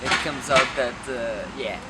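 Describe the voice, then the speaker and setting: A man rambling philosophically off the cuff, searching for words with hesitations and some swearing. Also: medium pitch. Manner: halting, searching, profane. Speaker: documentary film subject. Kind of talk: unscripted rambling philosophical musing. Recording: noisy outdoor street with traffic.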